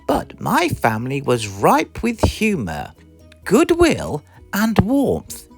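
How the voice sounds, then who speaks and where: A British woman reading a children's storybook aloud in an expressive, theatrical way. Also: fairly low pitch, slow pace, vocal fry, slightly rough, soft background music. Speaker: storybook reader. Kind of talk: animated scripted storybook narration.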